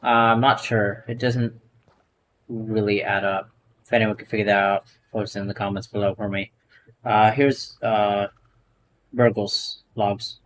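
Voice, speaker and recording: A man's voice: on the low side, level tone, unhurried, fairly bright tone, a little hiss or hum, rough recording. A man giving casual, informal commentary on a video game as its uploader. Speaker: video creator.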